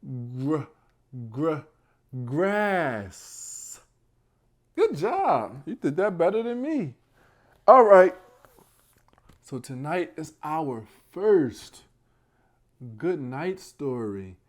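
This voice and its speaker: A man hosting a bedtime read-aloud for young children, speaking in a hushed, gentle way and talking directly to his little listeners. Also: on the high side, sing-song, extremely slow, pauses often. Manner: addresses young listeners directly with questions and praise.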